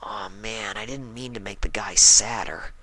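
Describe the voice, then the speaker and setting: A man's voice: fairly low pitch, fairly even intonation, measured pace, crowd noise, natural voice. A man voicing a brash but loyal tomboy pegasus mare, casual and blunt, in a cartoon fan fiction reading. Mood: concerned.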